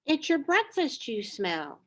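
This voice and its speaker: Woman playing an urgent, high-pitched giant's wife. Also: sing-song, slow, a bit bright, exaggerated voice. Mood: reassuring. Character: kind, huge giant's wife, anxious and cautioning.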